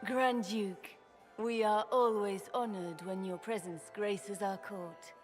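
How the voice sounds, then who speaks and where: An actress playing a poised, gracious empress in a fantasy game, formal, stately and courtly. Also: female voice, medium pitch, steady tone, slow, faint music behind, lightly acted. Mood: gracious, regal.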